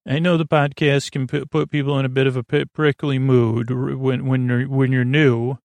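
Rambling male bedtime podcaster with creaky voice. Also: medium pitch, level tone, darkish tone, dry recording. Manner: meandering, halting, self-interrupting. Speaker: podcast host.

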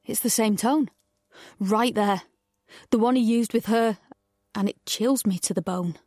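Wary, defiant young heroine. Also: female voice, on the high side, a little slow, mellow, no room sound, clean studio sound. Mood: tense, shaken. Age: young adult. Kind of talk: audio drama acting with intimate first-person narration.

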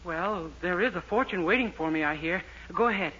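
A very high-voiced boyish young fellow. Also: male voice, a little fast, pushed and bright, slightly rough, full character voice. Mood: meek, boyish. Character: naive young man turned brash skirt-chasing playboy under hypnosis. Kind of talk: 1940s radio comedy acting. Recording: dull, very noisy old radio recording.

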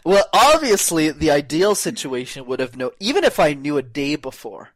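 A young man talking as a speaking coach in a podcast conversation, energetic and acting out quoted voices, with casual words like "man" and "brother". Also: fairly high pitch, normal speed, no echo. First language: not English; speaks French as second language.

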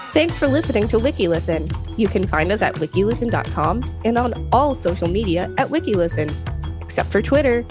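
A woman with a somewhat low voice co-hosts a podcast. She reads article text aloud and drops in casual opinions. Soft music plays faintly behind her. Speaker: podcast co-host.

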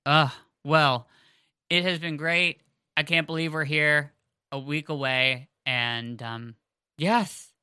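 A woman's voice: sits low, steady tone, slow pace, pushed and bright, smooth, no echo, clean studio sound. A woman, co-hosting a podcast in a chatty, rambling way with fillers and restarts. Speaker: podcast co-host. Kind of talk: casual podcast chat. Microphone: headphones on, close mic.

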